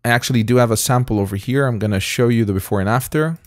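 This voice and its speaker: A man in his thirties with a non-native English accent, casually demoing software in a relaxed, chatty way with frequent fillers, recorded at home on a close mic. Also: mid-range voice, quick pace, no echo. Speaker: tech reviewer. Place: home studio room with colored lights.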